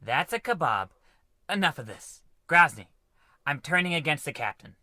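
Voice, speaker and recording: A put-upon spaceship science officer, played by a man in an old-style radio comedy. The voice is highish and piercingly bright, swinging up and down as it makes fussy, exasperated protests, with a dry close sound. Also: sing-song, moderate pace, no echo, full character voice. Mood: conspiratorial. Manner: deferential but fussy and protesting. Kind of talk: scripted old-time radio comedy acting.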